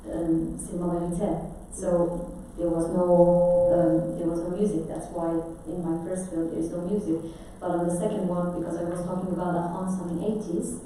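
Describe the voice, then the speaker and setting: A woman from Afghanistan speaks English at a festival masterclass, pausing and restarting as she thinks aloud. Her voice is dark and mellow. It carries from a distance through a very reverberant auditorium with faint room noise. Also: fairly low pitch, flat delivery. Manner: thoughtful, speaks with frequent restarts and fillers. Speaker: film director, guest speaker. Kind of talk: masterclass talk. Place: large auditorium. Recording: echoey hall, distant mic.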